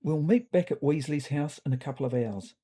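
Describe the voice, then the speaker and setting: A woman's voice: low voice, brisk, warm tone, breathy edge. A woman voicing a brash, careless male cat in a comic story, with a casual, confident, bossy manner. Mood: matter-of-fact.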